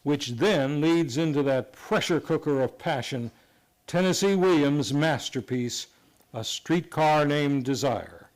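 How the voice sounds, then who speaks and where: Slow, higher-voiced male announcer. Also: expressive, a little mellow. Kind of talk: scripted theatre promo.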